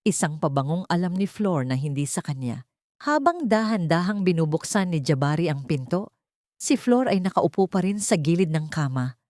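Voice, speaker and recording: Lowish-voiced woman narrating dramatic fiction. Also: expressive, moderate pace, darkish tone, dry recording, clean studio sound. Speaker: audio story narrator.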